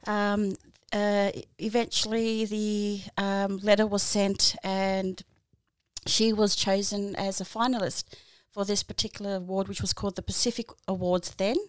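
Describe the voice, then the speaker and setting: Australian woman, 40s, podcast guest; halting, filler-heavy delivery, dry close sound. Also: medium pitch, flat delivery, smooth, no echo. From New Zealand. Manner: halting, many fillers and restarts. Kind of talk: casual interview answers.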